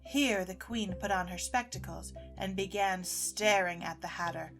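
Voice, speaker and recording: A woman's voice: medium pitch, moderate pace, vocal fry, rough recording. A woman reading scripted storybook narration for an audio drama. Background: music.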